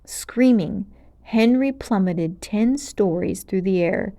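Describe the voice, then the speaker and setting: A woman narrating a children's storybook aloud in an expressive, dramatic and clearly paced way. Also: medium pitch, slow.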